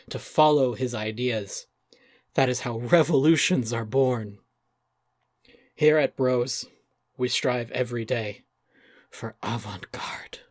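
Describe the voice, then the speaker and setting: A self-important avant-garde chef, played by a man delivers his manifesto as a dramatic reading. The voice is medium-pitched, dry and close, with portentous pauses that treat every phrase as profound. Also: no echo, close to an everyday voice. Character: pompous, self-serious male avant-garde restaurant chef. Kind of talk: dramatic reading of an open letter.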